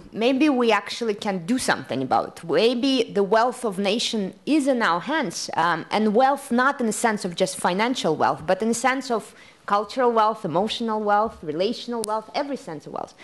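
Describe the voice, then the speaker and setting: A woman moderating a conference panel who builds her closing remarks around rhetorical questions. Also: fairly high pitch. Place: conference room.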